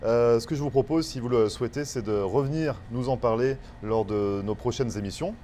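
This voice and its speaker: A man's voice: medium pitch, a little fast, warm tone, slight background noise. A man hosting a television interview, putting questions conversationally in loose, hesitant phrasing. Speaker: TV show host, interviewer.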